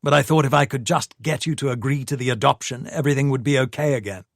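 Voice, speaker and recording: A male narrator gives us a controlling man who talks low and steady, pressing his case with firm insistence. The voice stays close to the narrator's own, in a middle register, and the recording is dry and close. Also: fast talker, creaky, no echo, lightly acted. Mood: pleading. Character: controlling man, defensive and coldly persuasive. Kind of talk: audiobook dialogue.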